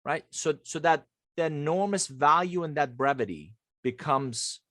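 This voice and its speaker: A man's voice: fairly high pitch, unhurried, a bit bright, no room sound, clean studio sound. A man in his fifties from Iran, a native Persian speaker, talking conversationally and emphatically as an interview guest who coaches leaders. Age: 50s.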